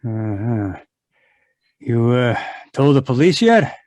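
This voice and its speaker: A wary philanderer in a noir audio drama. His medium-pitched voice is measured and hesitant, recorded close and dry. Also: very animated, a little mellow, dry recording. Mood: suspicious. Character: guarded married man caught keeping a mistress.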